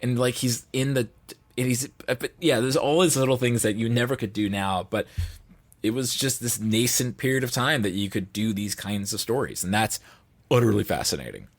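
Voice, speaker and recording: A man with an average-pitched voice chats as a guest on a podcast. He is informal and illustrative, talking in loose conversational phrases. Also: normal speed. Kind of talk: casual podcast chat.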